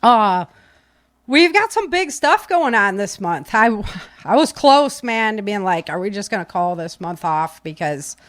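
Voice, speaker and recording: A woman's voice: medium pitch, big pitch swings, measured pace, fairly bright tone, no room sound. A woman chatting casually and informally as a live podcast host, with breezy, slangy talk. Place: home office.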